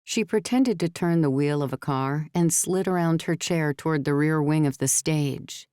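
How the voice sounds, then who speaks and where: A woman's voice: sits low, moderate pace, darkish tone, clean tone, no echo, clean studio sound. A woman reading scripted story narration as an audiobook narrator.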